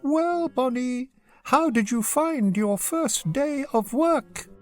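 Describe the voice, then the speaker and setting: Storybook deer, acted by a female reader: very high, swooping voice, welcoming and polite, gently exclaiming. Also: expressive, takes it slowly, faint music behind. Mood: gracious. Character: kindly silver-haired deer creature, gracious and welcoming.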